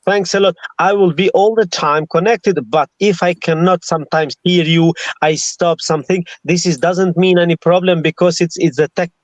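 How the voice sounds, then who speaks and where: Halting man on a phone call. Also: high-pitched, steady tone, no room sound. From Albania or Kosovo. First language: Albanian. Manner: halting, restarts often, searching for words. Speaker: guest poet. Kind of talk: video call chat. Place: on the road, traveling. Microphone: phone over mobile internet. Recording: dull dry lo-fi phone audio.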